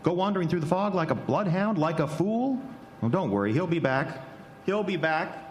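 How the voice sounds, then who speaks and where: Casting: male actor as selfish, short-tempered scientist husband, old radio horror melodrama, very animated delivery, noisy live recording. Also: fairly high pitch, moderate pace, close to an everyday voice. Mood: irritated, blustering. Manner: impatient, scornful, blustering. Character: Charles, selfish, irritable scientist husband, arrogant and dismissive. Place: live stage before an audience.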